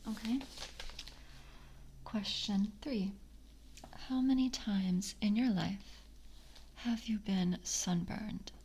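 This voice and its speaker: A woman playing a polite vampire coven member in a soft-spoken ASMR roleplay, breathy and often whispering, with formal, halting courtesy. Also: mid-range voice. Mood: polite, measured.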